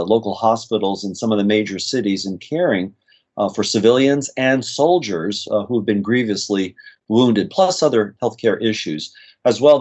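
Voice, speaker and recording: A middle-aged man with a slight American accent, a nurse practitioner guest telling stories in a relaxed interview with frequent "uh" fillers. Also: lowish voice. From United States. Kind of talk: conversational interview storytelling.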